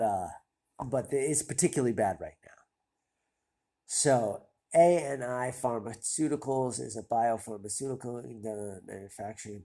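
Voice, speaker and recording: Host, male, nasal and congested with a cold, mellow husky tone, hesitant self-mocking musing. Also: mid-range voice. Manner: self-deprecating, hesitant, rambling. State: stuffy nose from a cold. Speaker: stock-picking channel host. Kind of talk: casual thinking-aloud commentary.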